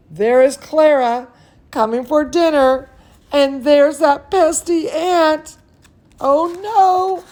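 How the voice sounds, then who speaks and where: Slow, gentle children's bedtime storyteller, a woman. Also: fairly high pitch. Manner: expressive, soothing, singsong talk to young children; chats playfully to a pet or companion. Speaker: dance studio teacher reading bedtime stories to children.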